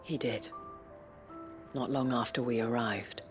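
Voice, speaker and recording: A worldly, gracious former courtesan in a fantasy game. She speaks very slowly and softly, with a breathy, genteel, storyteller's delivery. Faint music plays underneath. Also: rumbling low, fairly even intonation. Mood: wistful, sorrowful. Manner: measured, genteel, eloquent, storytelling. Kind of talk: dramatic video game cutscene acting.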